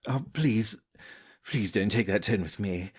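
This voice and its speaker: A man acting a husky, lovesick young gentleman. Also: lowish voice, animated, measured pace. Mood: ardent, pleading. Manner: pleading, hesitant, polite. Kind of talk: stage drama audio play acting.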